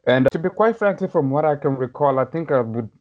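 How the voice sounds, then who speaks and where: A man's voice: on the high side, expressive, brisk. A man in his thirties from Somalia, a podcast guest thoughtfully recounting his childhood, hedging often and laughing lightly. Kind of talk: reflective personal storytelling. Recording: slightly dull lo-fi audio.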